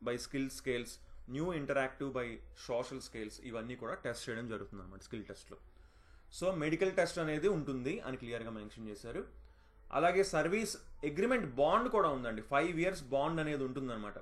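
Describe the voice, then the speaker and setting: A man talks quickly in a fairly high-pitched voice. He explains things informally and instructively, slipping in English terms. He often checks in with the listener as he goes. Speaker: job notification presenter.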